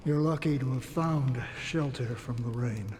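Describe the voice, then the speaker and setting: An actor playing a mild, curious male traveler in a game, talking gently and conversationally. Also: medium pitch, unhurried, natural voice. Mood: gentle, puzzled. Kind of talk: quiet game dialogue. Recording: long reverb, faint ambient noise.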